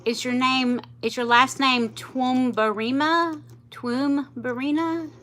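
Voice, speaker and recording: Woman, late fifties, livestream host; bright, chatty, rambling delivery. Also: high voice, steady tone, slow. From South Louisiana, US. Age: 50s. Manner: chatty, rambling, laughs easily. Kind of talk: casual livestream chat with viewers.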